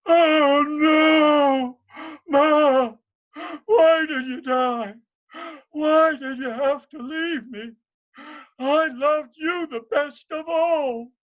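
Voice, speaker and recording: Folktale simpleton, young male villager played by a man: dim, earnest, halting, emotional; slightly lo-fi sound. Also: very high voice, fairly even intonation, fairly bright tone, pitched above the performer's own voice, heavily acted. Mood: grief-stricken. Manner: halting, stammering, naive.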